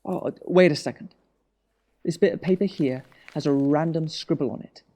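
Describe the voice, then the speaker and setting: A man playing a controlled, ruthless government minister in an audio drama, with a measured, coaxing way of talking. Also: a little high, mellow, lightly acted. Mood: cold resolve. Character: calm, ruthless male government minister hiding a conspiracy.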